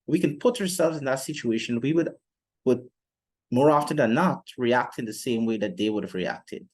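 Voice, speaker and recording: A young man presenting an explanatory podcast monologue in a measured, deliberate way with formal phrasing. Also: medium pitch, darkish tone. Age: young adult. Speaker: student podcast host.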